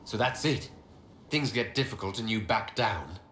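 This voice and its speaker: An actor playing a dry-witted, blunt heroic leader in a video game, speaking with controlled directness. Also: male voice, on the low side, expressive, normal speed, a bit bright. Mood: stern, challenging. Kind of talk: dramatic video game acting. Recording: roomy echo, clear background noise.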